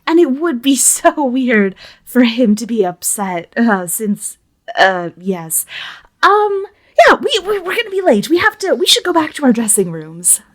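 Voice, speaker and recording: A woman's voice: fairly high pitch, big pitch swings, measured pace. A woman playing in an improvised tabletop game, chatting casually in a giggly, playful manner. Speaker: player on a roleplay podcast. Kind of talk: casual improvised roleplay chat.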